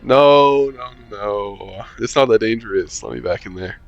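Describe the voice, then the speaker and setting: A man's voice: on the low side, moderate pace, vocal fry, lo-fi sound. A man reading a visual novel aloud for a let's-play, chuckling and adding wry asides. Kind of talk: casual let's-play narration.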